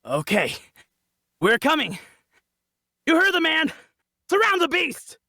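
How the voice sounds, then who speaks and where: An excitable, indignant bandit chief, acted by a man at a fantasy table read. The voice is very high, sharp and forceful, leaping wildly in pitch. It is shrill and frantic. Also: slow, dry recording, clean studio sound, heavily acted. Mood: frantic, defensive. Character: excitable, indignant bandit chief, more survivor than killer.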